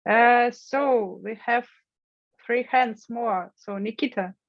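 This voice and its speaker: A woman's voice: fairly high pitch, slow, no room sound. Encouraging woman hosting a video call. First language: Russian. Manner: friendly, encouraging, prompting participants. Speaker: workshop host. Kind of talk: online workshop moderating. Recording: dull lo-fi call audio.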